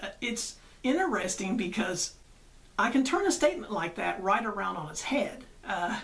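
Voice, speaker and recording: A woman's voice: medium pitch, animated, normal speed, vocal fry, a bit bright. A woman hosting a religious show, delivering a conversational monologue that leans on rhetorical questions and emphatic repetition.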